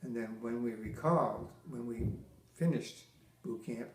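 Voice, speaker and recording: An older man from Massachusetts, a military veteran, answering interview questions with halting restarts, many fillers and dry teasing humor. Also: mid-range voice, a little mellow. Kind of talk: oral history interview. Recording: echoey room.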